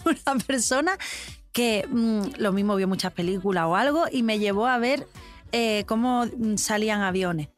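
A woman's voice: mid-range voice, moderate pace, soft background music. A woman singer with an Andalusian accent, chatting animatedly as an interview guest and laughing often. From Andalusia, Spain. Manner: animated, laughs often, acts out quoted voices. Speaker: musician guest. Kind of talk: casual interview chat.

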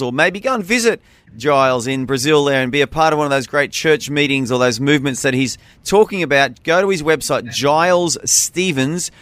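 Male interview host, mid-range voice, encouraging and clear, dry close studio sound. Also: medium pitch, a bit bright, no room sound. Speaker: radio host. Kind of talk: interview hosting.

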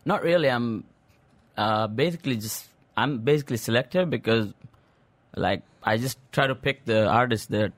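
A man at a middle pitch chats as a studio guest on radio, relaxed and informal. His speech is loose and full of fillers, and the sound is dry and close. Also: moderate pace, no room sound. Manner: casual, laid-back, many fillers. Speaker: musician guest. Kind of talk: radio interview.

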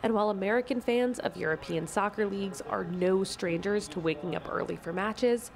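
A woman's voice: mid-range voice, brisk, gravelly. A woman reporter reading scripted radio feature narration in a mid-range voice.

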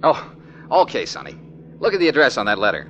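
Jokey private eye, voice jumps all over, old radio play. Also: male voice, on the low side, huge pitch swings, fast, a bit bright, highs cut off, a little hiss or hum. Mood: breezy. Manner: breezy, joking. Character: wisecracking male private detective, adult. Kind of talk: 1940s radio acting.

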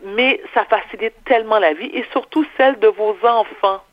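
A woman's voice: a little high, normal speed, no room sound. A woman with a Quebec French accent speaking assertively as a radio columnist, chatting casually and repeating phrases for emphasis. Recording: dull, lo-fi phone or remote link.

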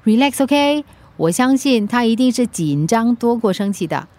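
Actress as a high, lively, caring woman friend. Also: normal speed, lightly acted. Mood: concerned, reassuring. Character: caring, level-headed divorced woman, soothing friend. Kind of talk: Mandarin radio drama acting.

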